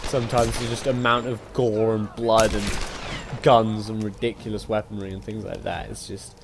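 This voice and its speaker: A young man giving a casual, rambling and opinionated video game review, animated and informal. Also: fairly low pitch, moderate pace, creaky, noisy background. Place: voice-over on gameplay footage. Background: game music.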